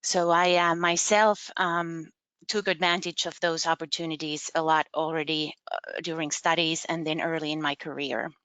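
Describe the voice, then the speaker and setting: A woman in her forties from Finland, with a Finnish accent, speaking on a webinar panel, thoughtful and pausing often with ums. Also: low voice, flat delivery, fairly bright tone, clean tone. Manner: thoughtful, many ums and pauses. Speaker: panelist, marketing manager. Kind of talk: casual talk on a panel.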